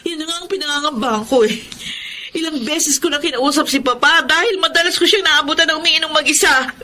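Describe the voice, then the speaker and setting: An actress with a Filipino accent playing an intense, grieving and furious married daughter in a melodramatic radio drama. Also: female voice, sits high, a little fast, bright, forceful tone, slight background noise. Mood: worried. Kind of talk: Tagalog radio drama acting.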